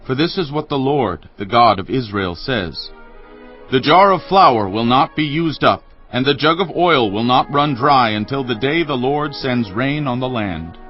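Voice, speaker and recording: A man's voice: medium pitch, lively intonation, a little slow, highs cut off, soft background music, close to an everyday voice. A man playing a resolute, commanding prophet in a dramatised audio Bible, speaking in an earnest, declamatory way. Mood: reassuring, firm.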